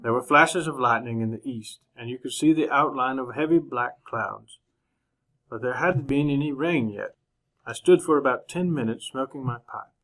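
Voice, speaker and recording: An actor playing a composed, educated gentleman traveler in an old-time radio western, speaking in a measured, dry way. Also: medium pitch, close to an everyday voice, poor audio. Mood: measured, somber. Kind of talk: old-time radio drama acting and narration.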